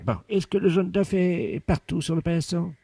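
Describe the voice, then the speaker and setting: A man playing a pompous scientist in a deadpan prank call, speaking solemnly and pedantically with deliberate articulation. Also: high-pitched, creaky, slightly rough, dry recording, clean studio sound, full character voice. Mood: solemn, instructive. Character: pompous male scientist investigating a virus outbreak for radio. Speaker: prank caller. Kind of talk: deadpan prank phone call with hushed radio commentary.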